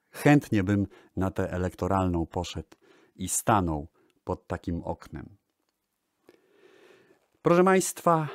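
Man, deep register, radio-style presenter, unhurried, pausing delivery, digressive music history talk. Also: darkish tone, no room sound, clean studio sound. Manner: deliberate, with hesitations and pauses.

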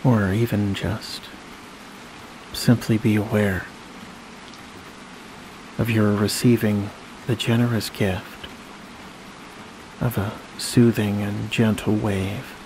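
A man with a lowish voice guides a sleep meditation. His delivery is very even, slow and soothing, with long pauses between short phrases. A clear wash of rain-like noise runs behind his voice. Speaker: hypnosis guide.

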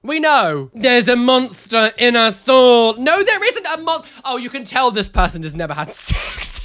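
A man's voice: very high voice, unhurried. A man in his thirties, congested and nasal from a cold, giving camp, chatty reaction commentary from a home studio at a close mic. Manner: animated, sassy, dramatic exclamations. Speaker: YouTuber reacting to photos. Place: home studio room with neon lights. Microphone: studio mic on a boom arm, close.

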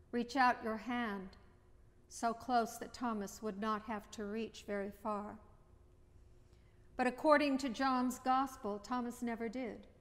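A middle-aged woman priest preaching a sermon at a lectern, measured and reflective, with short repeated phrases. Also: fairly high pitch. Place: church chancel, indoors. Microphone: at a lectern, far camera. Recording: long church reverb.

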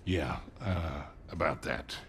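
An actor playing a suave, sardonic American crook in his sixties in a game cutscene, gravelly and dry. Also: rumbling low, takes it slowly, a bit bright, a little hiss or hum, heavily acted. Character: suave, sardonic old crook, a grey-haired man. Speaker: video game character. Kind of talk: naturalistic game cutscene dialogue.

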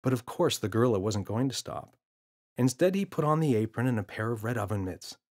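A man narrating a children's story from a script, as an expressive storyteller. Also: medium pitch, a little fast. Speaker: author and audiobook narrator.

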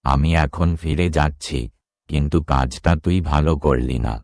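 A man's voice: extremely low pitch, normal speed, darkish tone, no echo, studio quality. A man voicing a menacing, imposing tantric occultist in a horror cartoon. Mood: threatening. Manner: imposing, threatening. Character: menacing male tantric occultist seeking a corpse. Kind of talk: dramatic horror cartoon voice acting.

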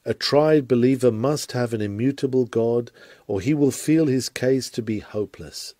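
A man reading a scripted sermon aloud in a solemn, measured, oratorical manner. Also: medium pitch, dark tone, dry recording, studio quality. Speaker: sermon reader.

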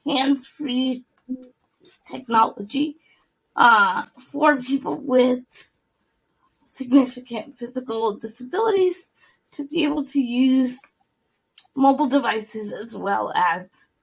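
A woman panelist describing her hands-free technology company over a video call, speaking in a slow and deliberate way. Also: high-pitched, creaky, breathy edge, poor audio. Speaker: panelist, tech founder.

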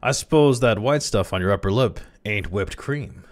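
A man's voice: low-pitched, sing-song, moderate pace, pitched above the performer's own voice. A man voicing a bullying, sarcastic cafeteria watchman, stern and slangy, in a dramatized story reading.

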